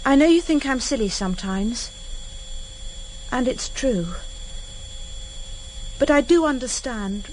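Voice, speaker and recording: A woman's voice: sits high, animated, slow, long pauses, darkish tone. An actress playing a naive, earnest and mischievous woman in a British radio drama. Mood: earnest, reassuring. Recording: noisy vintage radio recording.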